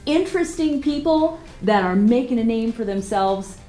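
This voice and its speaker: A woman's voice: a little high, expressive, moderate pace, dark tone, faint music behind. A woman hosting a podcast interview from a converted barn office, chatty and enthusiastic. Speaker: podcast host.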